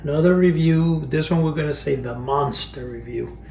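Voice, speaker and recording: A man's voice: fairly high pitch, moderate pace, gravelly, echoey room, distant mic, very noisy. A Cuban-born man in his fifties chatting casually as he reviews old collectibles, rambling and restarting his sentences. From Cuba. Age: 50s. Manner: rambling, restarts phrases, chuckles at his memories. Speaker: collector reviewing vintage items. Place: cluttered storage room.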